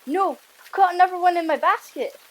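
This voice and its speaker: A woman's voice: very high voice, brisk. A woman voicing an earnest young Viking boy hero who explains things plainly in an audiobook drama. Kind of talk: audiobook drama character acting.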